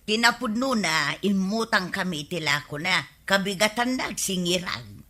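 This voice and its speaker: A woman's voice: medium pitch, animated, normal speed, bright, forceful tone. A woman with an Ilocano accent playing a defensive village housewife in a radio comedy drama, argumentative and exclamatory. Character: defensive, sharp-tongued village housewife in debt.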